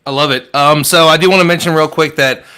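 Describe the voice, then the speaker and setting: A man's voice: a little high, steady tone, quick pace, sharp tone, clear, resonant tone. A man hosting a live online panel, talking earnestly and rambling with many restarts. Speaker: host. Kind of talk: casual livestream chat.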